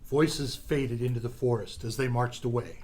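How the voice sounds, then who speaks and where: A man's voice: mid-range voice, moderate pace, darkish tone. A man narrating a radio adventure serial in a theatrical, suspenseful storytelling style.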